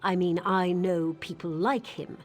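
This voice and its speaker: A woman's voice: on the low side, unhurried, natural voice. An AI-voiced woman playing a suspicious, bitter widow in game dialogue, with faint music behind. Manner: guarded, sharp-edged, questioning.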